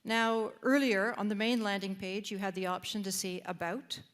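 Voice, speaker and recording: A woman's voice: medium pitch, steady tone, normal speed. A woman with a Canadian accent presenting at a conference, guiding the audience through a website with frequent fillers and restarts. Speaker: presenter demonstrating a database. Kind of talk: live panel presentation, guiding a screen walkthrough. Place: conference room.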